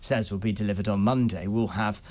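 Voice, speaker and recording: British man, news correspondent guest, lowish register, earnest and articulate, explanatory delivery. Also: steady tone, a little fast, darkish tone.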